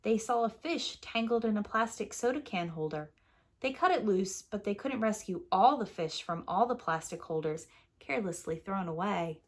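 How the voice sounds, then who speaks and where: A woman's voice: medium pitch, expressive, moderate pace. A woman preschool teacher narrating a children's picture book as a storyteller.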